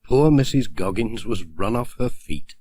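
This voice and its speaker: A man's voice: fairly low pitch, expressive, unhurried, mellow, breathy edge. A man narrating a children's story from a script, as an audiobook reader.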